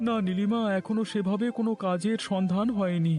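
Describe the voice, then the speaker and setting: A man's voice: high-pitched, fairly even intonation, a little fast, a little mellow, faint music behind, natural voice. A man voicing an earnest, scheming jobless village husband in a cartoon, explaining in a reassuring way. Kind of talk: cartoon voice acting.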